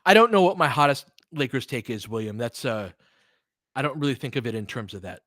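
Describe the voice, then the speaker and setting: An American man with a mid-pitched voice talks sports off the cuff in a close, dry recording. He is candid and opinionated, leaning on his points emphatically as he chats with listeners. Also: animated, fairly quick, a bit bright, dry recording. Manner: candid and opinionated, swears freely. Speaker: sports livestream host. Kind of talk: casual, unscripted sports commentary chatting with listeners.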